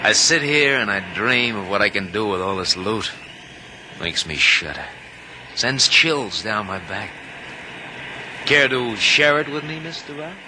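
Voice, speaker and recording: Smug, cocky young gunman, lowish voice. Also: expressive, unhurried. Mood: smug, dreamy. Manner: smug, insinuating, musing. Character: cocky young gunman, greedy, dreamy and menacing. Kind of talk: 1950s radio drama acting. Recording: noisy old radio recording.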